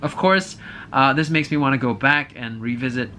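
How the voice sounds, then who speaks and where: Male vlog reviewer, mid-pitched and bright, casual and thinking aloud, slight background noise. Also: normal speed. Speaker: movie reviewer host. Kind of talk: casual opinionated review to camera.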